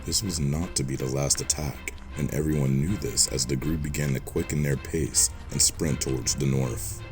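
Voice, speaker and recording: A man's voice: very deep voice, steady tone, moderate pace, over music. A man giving scripted, dramatic narration as the storyteller of an audio drama.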